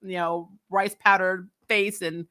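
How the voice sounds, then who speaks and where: Bright-voiced, sassy woman recapping TV. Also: medium pitch, little pitch movement, takes it slowly. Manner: candid, sassy, emphatic, colloquial with casual swearing. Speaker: host of a TV recap show. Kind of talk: casual fan commentary and chat.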